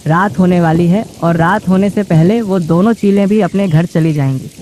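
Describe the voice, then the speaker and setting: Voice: mid-range voice, normal speed, dark tone, close to an everyday voice. An actor voicing a sensible, polite father sparrow in a bird cartoon. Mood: calm, practical. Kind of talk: Hindi bird cartoon voice acting. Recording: rain noise behind.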